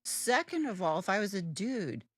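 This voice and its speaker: A woman with a deeper alto voice co-hosting a casual podcast, brash and joking, swearing freely. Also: normal speed, breathy edge, no echo, studio quality. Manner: animated, lively pitch. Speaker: podcast co-host. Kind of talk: casual chat.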